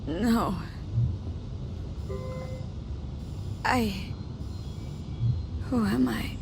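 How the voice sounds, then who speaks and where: A woman's voice: a little high, drawn-out words, creaky, background noise, heavily acted. Weary, defiant young woman mercenary. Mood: dazed, shaken. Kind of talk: cinematic video game acting.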